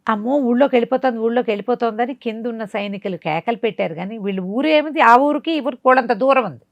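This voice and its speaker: A woman's voice: a little high, fast, no room sound, muffled recording. Dramatic woman storyteller, close dry sound. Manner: dramatic, asks rhetorical questions as she narrates. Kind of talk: animated oral storytelling, conversational bedtime tale.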